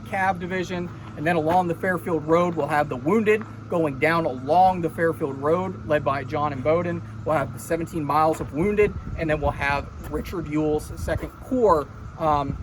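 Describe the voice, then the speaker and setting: A man working as a battlefield historian, giving an unscripted outdoor tour talk and correcting himself as he goes. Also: fairly high pitch. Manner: unscripted, self-correcting explanation. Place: outdoors by a road, beside an old inn. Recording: outdoor road noise.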